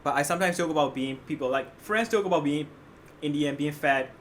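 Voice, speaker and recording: A man's voice: on the high side, a little fast, a little hiss or hum. Wry laid-back man among friends. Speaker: podcast co-host. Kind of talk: casual chat among friends. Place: indoor room with friends.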